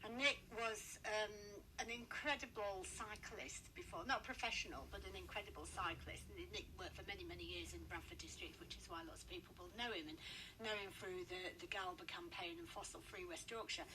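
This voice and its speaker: Bright-voiced woman radio host. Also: mid-range voice, brisk, rough recording. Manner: chatty, effusive, frequent affirmations and repeated words. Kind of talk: live local radio interview. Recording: radio interview with faint background noise.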